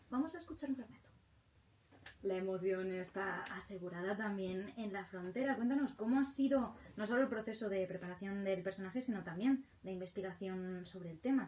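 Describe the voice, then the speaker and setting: Spanish woman hosting, mid-pitched voice. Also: a little mellow, slight background noise. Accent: Spanish from Spain. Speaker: radio show host. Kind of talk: presenting and interviewing.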